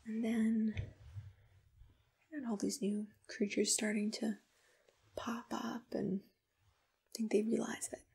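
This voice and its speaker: A woman playing a gentle, soothing monster matchmaker in a whispered ASMR roleplay, unhurried and reassuring. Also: on the high side, level tone, heavily acted. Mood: chatty, confiding. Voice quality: breathy, whispery. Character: soft-spoken, accommodating female monster matchmaker. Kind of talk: ASMR personal-attention roleplay, mostly whispered.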